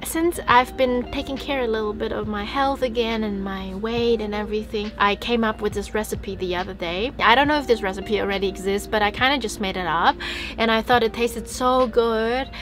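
A young woman who speaks as a chats her way through a van-life vlog, laughing easily and a little self-conscious. Her voice sits a bit high, with rain tapping on the van roof. Lo-fi background music plays under her. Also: moderate pace, fairly bright tone. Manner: chatty, giggly, self-conscious. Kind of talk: casual vlog chat while cooking.

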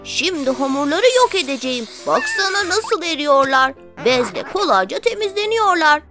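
A woman's voice: high voice, wildly swooping pitch, normal speed, music in the background, exaggerated voice. A woman voicing a mischievous, whiny little child creature in a children's cartoon, childish and exaggerated. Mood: cheeky. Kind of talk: children's cartoon dub, rhymed lines.

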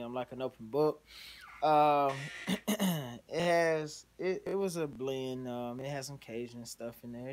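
A man, likely in his thirties, casually talking through a home cooking vlog in a laid-back, rambling way, filmed on a phone held at arm's length in a small kitchen. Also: mid-range voice. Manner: laid-back, rambling, lots of "you know what I'm saying". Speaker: home cook vlogger. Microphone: phone camera held at arm's length.

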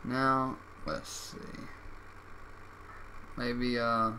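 Male tutorial presenter, unhurried and offhand, casual step-by-step delivery, noisy home mic. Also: mid-range voice, flat delivery, sharp tone. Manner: offhand, terse step-by-step instructions. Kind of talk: casual screen-recorded tutorial. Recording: noisy home microphone screen recording.

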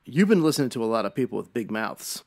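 A man acts a surly husband dodging questions in a radio police drama. His voice is gravelly with heavy fry, at a middling pitch. He is halting, grudging and defensive. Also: big pitch swings, darkish tone. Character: surly, evasive husband and former seaman. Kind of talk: old-time radio drama acting.

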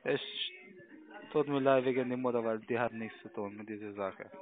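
A man's voice: mid-range voice, normal speed, faint music behind. Male scam caller, crude and taunting, tinny telephone sound. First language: not German. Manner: crude, taunting, broken phrasing. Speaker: scam call centre caller. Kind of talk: confrontational phone argument. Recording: muffled, narrow phone line.

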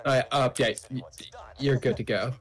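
Male gamer, mid-pitched, casual and organizing, stop-start delivery. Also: fairly even intonation. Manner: organizes the group, halting restarts. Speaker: gamer leading a co-op session. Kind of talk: casual gaming chat.

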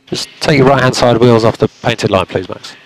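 A man working as a race engineer, giving calm, clipped instructions to a driver over team radio. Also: medium pitch, moderate pace. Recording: noisy team radio, car engine sound.

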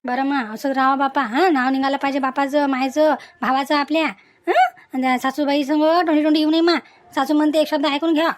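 A woman as a high-voiced nagging Varhadi grandmother. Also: flat delivery, fairly quick, lightly acted. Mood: advising. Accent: Maharashtrian, Varhadi Marathi. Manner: scolding, teasing, advising. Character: nagging affectionate old village grandmother. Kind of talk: cartoon family drama dialogue.

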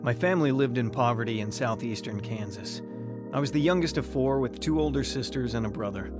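Guy acting as grown man telling his rough childhood for a radio drama, measured and serious, music under it. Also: mid-range voice, close to an everyday voice. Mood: sober, reflective. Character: grown man soberly recounting his troubled youth. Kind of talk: scripted first-person radio drama narration.

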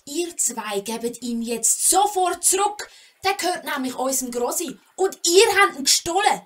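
A woman's voice: high voice, lively intonation, normal speed, fairly bright tone. A woman with a Swiss German accent acting a bold, impulsive, cheeky young girl adventurer in a children's audio play. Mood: defiant.

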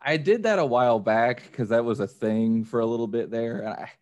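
A man's voice: mid-range voice, a little fast, darkish tone. An American man in easygoing, anecdotal casual conversation on a podcast. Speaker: podcast participant. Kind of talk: casual chat. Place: remote video call from a room indoors.

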